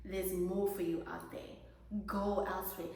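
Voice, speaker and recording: A young South African woman hosting a casual chat, expressive, laughing often and dropping in isiXhosa words. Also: on the low side, unhurried. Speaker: host. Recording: echoey room.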